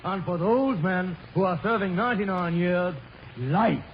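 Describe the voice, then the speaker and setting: Gushing high-voiced upper-crust fop. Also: male voice, a little slow. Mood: eager. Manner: effusive, repeats pet phrases, stammers keenly. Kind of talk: 1930s radio comedy acting. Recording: muffled, hissy old radio broadcast.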